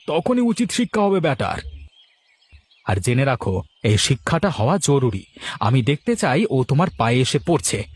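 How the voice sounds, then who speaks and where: A man's voice: mid-range voice, very animated, normal speed, husky. Man as a shrewd, insistent village adviser. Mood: insistent, encouraging. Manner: instructive, insistent, encouraging. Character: shrewd village friend egging on a grudge. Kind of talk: animated moral story dialogue.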